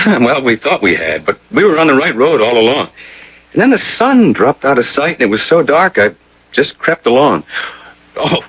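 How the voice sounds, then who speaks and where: Husky, affable young husband. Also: male voice, mid-range voice, very animated, normal speed, a bit bright, dry recording. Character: ordinary young husband, affable, baffled, skeptical. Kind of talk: old-time radio drama acting. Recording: dull lo-fi old radio recording.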